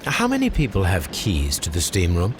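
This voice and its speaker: A man playing a shrewd, commanding English gentleman detective in a Victorian video game, speaking with precise, formal phrasing. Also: low voice, huge pitch swings, moderate pace, a little hiss or hum. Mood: brisk, inquiring. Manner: precise, formal, clipped questioning. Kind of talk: video game cutscene acting.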